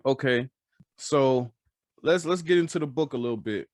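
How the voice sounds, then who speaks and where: A man's voice: mid-range voice, moderate pace, dry recording, studio quality. A man hosting a casual interview podcast, repeating phrases as he feels his way into each question. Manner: hesitant, repeats phrases while forming questions. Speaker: podcast host.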